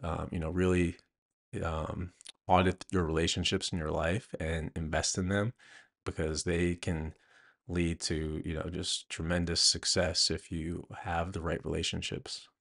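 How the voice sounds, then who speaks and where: A man answering interview questions conversationally as an author and host, thinking aloud haltingly with many a "you know". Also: deep voice, little pitch movement, gravelly, a bit bright.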